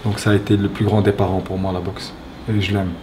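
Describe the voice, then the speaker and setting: A man speaking as an interview guest, thoughtful and halting, with many pauses and restarts. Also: low-pitched, little pitch movement, a little mellow, a little hiss or hum. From Belgium. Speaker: guest, retired fighter. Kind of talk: reflective interview talk.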